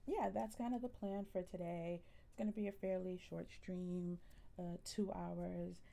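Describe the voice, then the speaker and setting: A woman with a generally soft voice chatting casually with viewers on a live stream, relaxed and full of ums. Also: lowish voice, a little slow, gravelly. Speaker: livestreamer.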